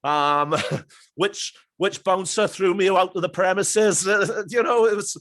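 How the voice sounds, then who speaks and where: A man's voice: high-pitched, takes it slowly, fairly bright tone. A man hosting a podcast interview, chatting eagerly and rambling through memories. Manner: enthusiastic, rambling with fillers. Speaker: podcast host. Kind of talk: casual interview chat.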